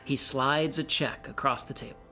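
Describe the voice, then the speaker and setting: A man's voice: medium pitch, fairly even intonation, moderate pace, bright, forceful tone. Man reading stage directions over music. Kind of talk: scripted narration.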